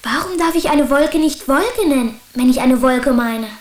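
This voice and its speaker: A woman's voice: sits high, expressive, normal speed, crowd noise. A woman playing an eager, candid schoolboy in a German children's radio drama. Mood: questioning. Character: Anton, eager schoolboy, candid and a little stubborn. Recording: hissy, roomy recording.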